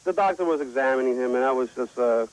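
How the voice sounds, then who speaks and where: A man with a Spanish accent speaks as a medical guest on the radio. His pitch is mid-range and his pace is quick. He sounds earnest and slightly nervous. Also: low-quality recording. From United States. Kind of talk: informal interview.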